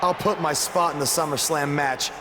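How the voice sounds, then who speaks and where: A man playing a brash, defiant rising wrestler in a video game, speaking with punchy, drawn-out emphasis. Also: a little high, very noisy, lightly acted. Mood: defiant, cocky.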